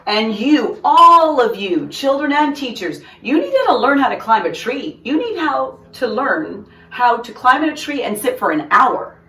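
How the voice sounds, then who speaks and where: Proud, gung-ho storybook headmaster, played by a woman: emphatic, swooping high, roomy echo. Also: sing-song, unhurried, few pauses, sharp tone, heavily acted. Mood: earnest, announcing. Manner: emphatic, declamatory. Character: proud, overeager male school principal, booming with enthusiasm. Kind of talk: children's storybook character voice. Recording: roomy echo, distant microphone.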